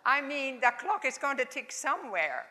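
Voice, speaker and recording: A woman's voice: sits high, big pitch swings. Older Dutch woman in a reverberant hall. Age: 70s. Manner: warm, halting, non-native phrasing. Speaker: chapel speaker. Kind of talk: informal chapel talk.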